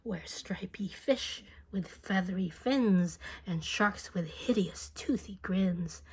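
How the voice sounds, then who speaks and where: A woman reading a children's book aloud as expressive rhyming narration, deliberate and singsong, drawing out words. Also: mid-range voice, breathy edge.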